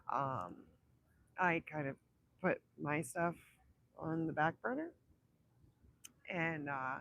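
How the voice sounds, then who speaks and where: A young woman with a raspy voice from illness, chatting casually on a livestream, rambling and laughing between thoughts. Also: on the low side, a bit bright, no room sound. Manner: rambling, self-interrupting, laughs often. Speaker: livestream host. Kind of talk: casual live chat with viewers.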